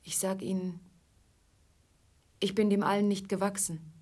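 A weary young female musician, played by a radio actress, speaks softly in a breathy voice. She is reserved and plain-spoken, wary of whoever addresses her. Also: medium pitch, flat delivery, a little slow, close to an everyday voice. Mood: subdued, weary. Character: weary, guarded young female café musician, ill-treated. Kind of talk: German radio drama acting.

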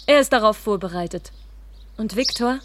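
An actress playing a tender, self-sacrificing young woman in love in a radio drama, earnest and halting. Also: mid-range voice, a bit bright, close to an everyday voice. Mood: tender, wistful. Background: birdsong and music.

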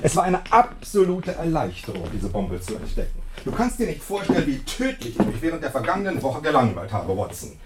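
An actor playing a brilliant, cheerfully superior gentleman detective in a German radio play, eloquent and suave with a wry condescension. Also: a little high, sing-song, a little fast, few pauses, very noisy.

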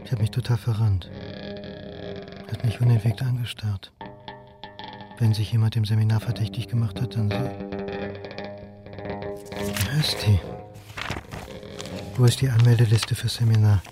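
A man playing a beleaguered, stubborn university historian in a radio crime drama, earnest and halting. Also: on the low side, flat delivery, loud music behind the voice, lightly acted. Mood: brooding, self-reproachful. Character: beleaguered male historian and university lecturer, indignant, doubting, stubborn. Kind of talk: German radio crime drama acting, dialogue and inner monologue.

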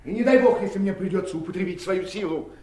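An actor playing a wily, domineering elder brother in a Soviet radio drama, theatrical, mocking and commanding. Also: male voice, high-pitched, fast talker, dark tone, close to an everyday voice. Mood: aggrieved, threatening.

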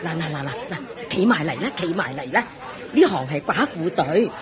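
An actress playing a chatty, nosy older widow in a Cantonese radio drama, bossy and exclamatory with a Hong Kong accent. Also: female voice, low voice, expressive, moderate pace. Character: chatty brash older widow queuing for alms. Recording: busy crowd behind the voice. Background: crowd of people.

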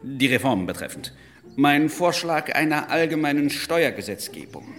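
An actor playing an earnest, dutiful civilian jurist and state councillor in a German radio play, formal, clipped and politely persistent. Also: male voice, fairly high pitch, very animated, normal speed, a bit bright. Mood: firm, earnest. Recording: music under the voice. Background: music.

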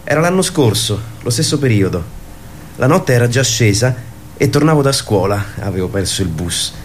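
An actor playing a dreamy, earnest young man in a radio play, telling his story with hushed pauses. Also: mid-range voice, very animated, slight background noise, lightly acted. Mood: wondering, earnest. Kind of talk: radio theatre acting.

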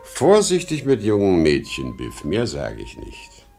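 A man's voice: low-pitched, huge pitch swings, unhurried, creaky, dark tone, faint music behind, heavily acted. An actor playing a boastful, insecure aging travelling salesman in a 1950s German radio drama, talkative and exclamatory. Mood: proud, fatherly. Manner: talkative, exclamatory, swinging from bragging to self-doubt.

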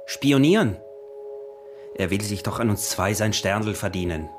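An actor playing a greedy, possessive smuggler husband with an Austrian accent, wheedling and swooping between murmurs and exclamations in an intimate radio drama. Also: male voice, fairly low pitch, lively intonation, unhurried, over music. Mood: suspicious. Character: scheming, possessive, short-winded smuggler husband, greedy and proud.

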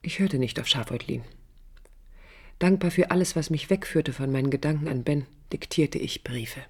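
Intense, husky young woman architect. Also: low voice, normal speed. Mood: resolute, bitter. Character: young idealistic woman architect, passionate and defiant, narrating her life. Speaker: lead actress and narrator. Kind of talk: German radio drama, introspective first-person narration. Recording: 1980s radio play production.

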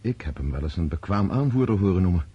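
Earnest, husky, quick-spoken gentleman. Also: sits low, expressive. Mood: questioning. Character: earnest, naive civilian gentleman, curious and hesitant. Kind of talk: Dutch radio drama acting. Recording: slightly dull radio play recording.

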